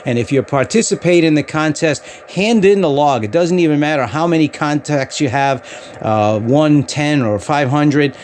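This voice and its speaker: A man's voice: fairly high pitch, moderate pace, darkish tone. A man working as an amateur radio operator and host, talking in plain radio-contest style and casual commentary. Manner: spells out call signs phonetically. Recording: noisy, with radio static and hiss.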